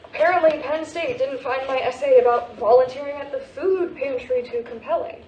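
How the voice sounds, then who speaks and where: Woman acting a sassy stressed teen daughter in a play reading, kinda high voice, super roomy sound. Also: normal speed, a little mellow, natural voice. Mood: wry disappointment. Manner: flippant, slangy, brushing off worries. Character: sarcastic teenage daughter, stressed about college. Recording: roomy, distant mic with hiss.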